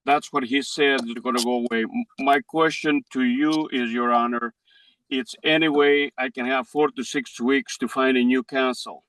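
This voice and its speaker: A man in his sixties with a Greek accent, pleading his case in court in halting second-language English. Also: mid-range voice, fairly even intonation, fairly bright tone, dry recording, low-quality recording. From Greece. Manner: halting, non-native phrasing. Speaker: defendant in a civil case.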